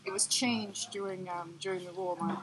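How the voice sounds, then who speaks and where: A woman from Denmark, a professor, answering interview questions in a tea room in a thoughtful, measured way with many fillers. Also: lowish voice, fairly even intonation, heavy background noise. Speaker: professor, guest. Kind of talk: podcast interview.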